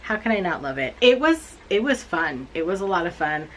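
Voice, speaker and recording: Blunt, low-pitched woman in her forties. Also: moderate pace, a little hiss or hum. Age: 40s. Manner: blunt, animated, self-mocking. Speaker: book reviewer. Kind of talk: casual book recap to camera.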